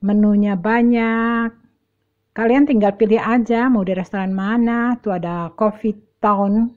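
A woman's voice: medium pitch, steady tone, unhurried, narrow, tinny audio. A middle-aged woman giving a chatty, casual walk-and-talk shopping vlog, addressing viewers directly and often repeating words. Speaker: vlogger. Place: large indoor shopping mall.

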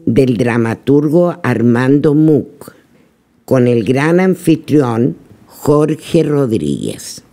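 A man's voice: medium pitch, expressive, extremely slow. A man with a Chilean accent announcing the title of a radio drama. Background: loud guitar music.

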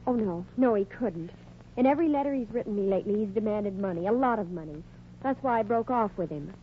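An actress playing a refined, gentle, heartbroken young lady, polite and earnest, in a 1940s radio show. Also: medium pitch, animated, fast talker, close to an everyday voice. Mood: hurt. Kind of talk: 1940s radio drama acting. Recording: muffled, noisy 1940s radio recording.

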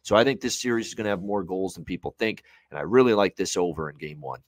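Low-pitched man giving emphatic betting commentary. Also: animated, fast talker, dry recording, clean studio sound. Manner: opinionated, emphatic, repeats phrases for emphasis. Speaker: sports betting analyst. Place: indoor room at home, on a video call.

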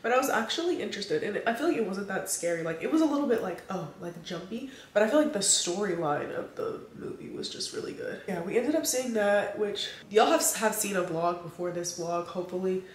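A woman's voice: mid-range voice, brisk, hardly any pauses, slight background noise. A young woman vlogging casually to camera, chatty and slangy, a little nasal and congested from allergies. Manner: chatty, animated, slangy asides to viewers. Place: indoors at home. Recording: roomy echo, a bit off-mic.

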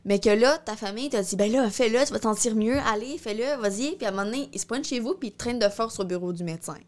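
A woman's voice: a little high, rapid-fire, a little mellow. A woman in her twenties speaks with a Québécois French accent in a casual discussion. She is informal and a little hesitant, slipping English words into her sentences. She is recorded on a close microphone in a small studio. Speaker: podcast co-host. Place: small indoor podcast studio. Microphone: close studio microphone on boom arm.

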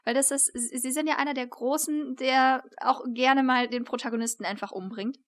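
A woman's voice: sits high, steady tone, moderate pace. A woman in her thirties co-hosting a literary interview in a conversational style. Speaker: interviewer, co-host. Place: attic room, indoors. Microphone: shared desktop microphone on a video call.

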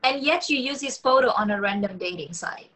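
A woman's voice: a little high, expressive, a little fast, sharp tone. A woman playing a wry, wary young online dater in a film script read-through on a video call. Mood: skeptical, wry. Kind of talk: actor reading a script at a table read.

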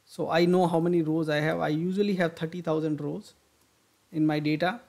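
Gravelly-voiced man walking through software. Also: fairly high pitch, fairly even intonation, brisk. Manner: thinks aloud with frequent fillers and restarts. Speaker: software instructor. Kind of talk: screen-recorded tutorial walkthrough.

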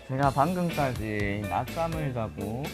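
Excitable man with a regional accent, game music behind. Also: medium pitch, unhurried. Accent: regional Korean dialect. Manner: casual, bursts into loud excited shouts at the game. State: slightly husky from just waking up. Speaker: gaming channel host. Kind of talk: live gameplay commentary.

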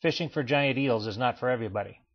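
A man giving a scripted voice-over for a training slideshow, in a measured, explanatory way. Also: medium pitch. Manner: measured, even explanatory delivery. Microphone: voice-over, not recorded in pictured place. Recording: dull lo-fi voice-over.

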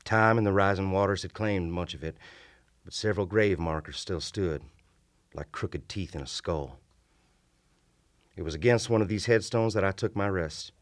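A man performs a haunted Civil War lieutenant in an old-time radio horror drama. His low voice tells the tale gravely and deliberately, in formal journal language, with music clearly behind him. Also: no room sound, close to an everyday voice. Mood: brooding. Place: small radio studio. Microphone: vintage-style studio microphone at close range.

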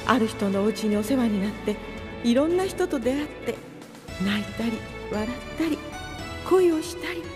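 A woman voicing a gentle, graceful queen and mother in an anime cartoon, soft, refined and polite. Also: a little high, lively intonation, moderate pace, over music, close to an everyday voice. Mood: nostalgic. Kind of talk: Japanese anime acting.

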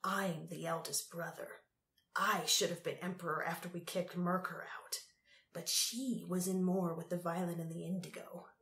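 A woman's voice: fairly low pitch, little pitch movement, moderate pace, fairly bright tone, reverberant. A woman voicing a drunken old male vizier in an audiobook, pompous and vindictive. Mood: confiding.

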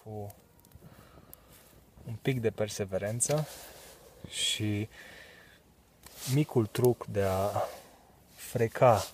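A man in his thirties from Romania, explaining bushcraft in a relaxed, casual way in leafless woods, with fillers and short laughs. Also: fairly low pitch, pauses often, slight background noise. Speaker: bushcraft vlogger. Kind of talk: casual outdoor tutorial. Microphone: camera close, handheld then set on ground.

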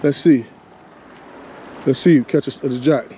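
A man's voice: mid-range voice, slow pace, dark tone, slight background noise. A man fishing from a rocky shore outdoors, talking to himself and to the fish in casual running commentary, picked up by a body-mounted action camera. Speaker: fishing vlogger.

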